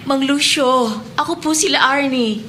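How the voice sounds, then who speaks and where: A woman's voice: high-pitched, measured pace, fairly bright tone, slight background noise. A woman playing an anguished former housemaid searching for her son in a Filipino radio drama, speaking pleadingly and with deference. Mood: polite, anxious.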